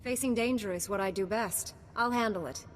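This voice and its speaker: An actress playing an earnest, composed heroic knight in a video game cutscene. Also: female voice, mid-range voice, fairly quick, long reverb tail, a little hiss or hum, lightly acted. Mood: earnest, resolute.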